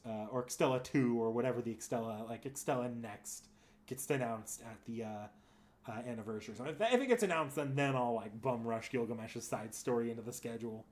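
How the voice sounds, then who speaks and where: A man hosting a casual livestream, chatting with viewers in a rambling way, thinking aloud and often correcting himself. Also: mid-range voice. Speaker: streamer and host.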